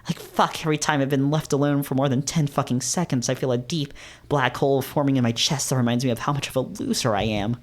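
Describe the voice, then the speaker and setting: A man's voice: mid-range voice, fast talker, close to an everyday voice. A man voicing an anxious, self-loathing young male fox in a dramatic visual novel reading, agitated and melodramatic. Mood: frantic outburst. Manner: agitated, overwrought, self-deprecating.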